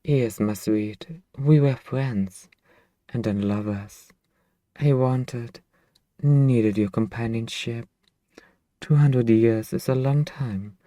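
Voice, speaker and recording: Slow, hushed vampire lover acted by a man. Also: mid-range voice, no room sound, lightly acted. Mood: confiding, tender. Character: two-hundred-year-old male vampire master, tender, possessive boyfriend. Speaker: ASMR voice actor. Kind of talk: intimate ASMR roleplay monologue.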